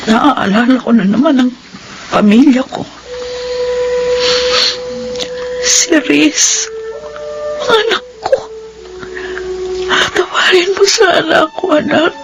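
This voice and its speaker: A woman's voice: high voice, sing-song, a little slow, vocal fry, pauses often, noisy background, exaggerated voice. A woman playing a weary, loving, tearful mother in a Filipino radio drama monologue. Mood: sorrowful, tearful. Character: weary grieving mother working away from home, tearful, loving. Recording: dramatic music under the voice.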